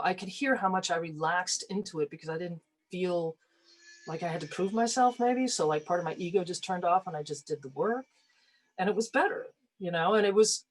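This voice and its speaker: A woman's voice: lowish voice, low-quality recording. A woman from the United States, an actor, reflecting casually in conversation.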